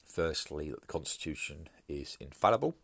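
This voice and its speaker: A man hosting his own podcast, talking through an opinion piece in a measured, hesitant way with frequent fillers and restarts, sounding congested and bunged up from hay fever. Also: extremely low pitch, animated, gravelly, no echo. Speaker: podcast host. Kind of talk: solo podcast commentary.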